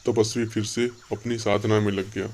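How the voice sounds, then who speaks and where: A man with a fairly low voice narrates a scripted story for children. He works as a storyteller addressing young listeners, and there is clear noise in the recording. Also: flat delivery, moderate pace, darkish tone.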